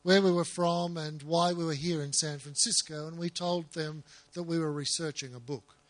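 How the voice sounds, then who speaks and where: A man with an Australian accent telling humorous travel anecdotes to an audience, pausing for an interpreter. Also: on the high side. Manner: relaxed, humorous storytelling with chuckles. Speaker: speaker telling stories to an audience. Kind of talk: anecdotal storytelling talk, paused for interpretation.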